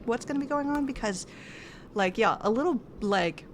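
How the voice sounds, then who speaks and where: A woman's voice: fairly high pitch, expressive, moderate pace, a little hiss or hum. A woman hosting a game livestream, chatting casually with her viewers, full of fillers and restarts. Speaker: streamer host. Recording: music playing behind. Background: background music.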